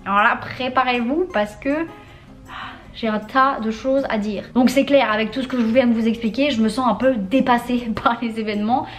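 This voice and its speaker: A woman's voice: a little high, fairly even intonation, normal speed, faint music behind. A young woman from France talking candidly in a casual vlog, using slang and laughing often. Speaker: vlogger.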